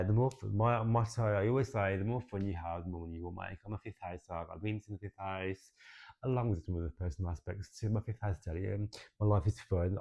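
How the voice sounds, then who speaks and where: A young man giving a casual, expressive tarot and astrology reading, chatty and swearing now and then, with "right?" tacked onto his points. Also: fairly low pitch, lo-fi sound. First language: English.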